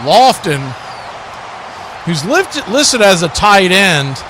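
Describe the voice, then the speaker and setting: A man doing live play-by-play football commentary for a stream, in clipped phrases that grow excited on big plays. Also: high voice, takes it slowly, noisy background.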